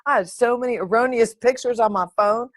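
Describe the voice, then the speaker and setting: A woman hosting her own podcast, talking in a playful, animated and uninhibited way, close to the mic. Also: fairly high pitch, fairly quick, no room sound. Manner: playful, animated, sings and makes silly sounds; uninhibited, swears. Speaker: podcast host. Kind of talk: solo podcast chat.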